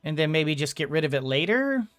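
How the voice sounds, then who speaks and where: A man giving casual let's play commentary, rambling and thinking out loud as he goes. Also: on the high side, very animated, brisk, soft background music. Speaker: gaming video host.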